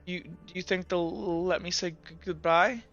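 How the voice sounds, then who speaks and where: A man voicing a sad, trusting teenage boy in a roleplay game, halting and sniffling as he talks. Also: sits high, steady tone, fairly bright tone, dull audio, faint music behind. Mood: sad, tearful.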